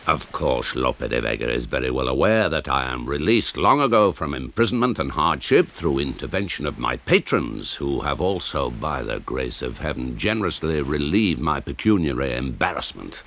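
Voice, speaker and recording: A man's voice: rumbling low, animated, moderate pace, few pauses, a bit bright, full character voice. An actor playing an embittered old author who narrates his tale in a radio drama, wry, scornful and grandiloquent. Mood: bitter, scornful.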